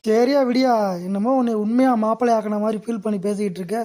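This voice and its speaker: A woman's voice: a little high, fairly even intonation, fast talker, mellow, natural voice. A woman voicing a blunt, mocking male sidekick in a cartoon comedy.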